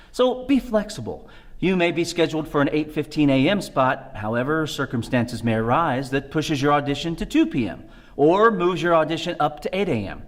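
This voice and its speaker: A man with a Midwestern American accent, a co-host reading out scripted audition tips in short, list-like steps. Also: a little high. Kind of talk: scripted instructional talk.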